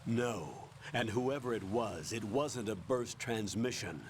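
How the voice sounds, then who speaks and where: Calm army colonel, lowish voice, dry orders, bit of noise. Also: male voice, slow pace. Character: calm middle-aged male colonel giving orders. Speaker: commanding officer.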